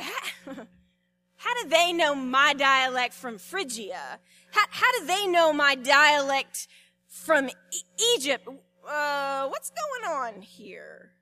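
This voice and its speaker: Lady pastor giving a sermon, slow and storytelling, bright high voice. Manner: expressive storytelling with repeated words and dramatic pauses.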